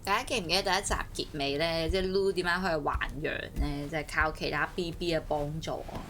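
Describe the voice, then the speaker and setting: A woman's voice: sits low, fairly even intonation, fast talker, fairly bright tone, a little hiss or hum. A woman game streamer with a Hong Kong Cantonese accent, giving casual live commentary. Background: game music.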